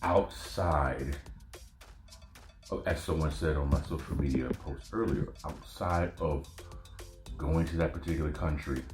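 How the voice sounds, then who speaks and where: A man's voice: rumbling low, fairly even intonation, measured pace, pauses often, roomy sound, loud music behind the voice. A man from Northern California hosting a podcast, talking casually in a blunt, profane, conversational rant. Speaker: podcast host. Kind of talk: casual monologue.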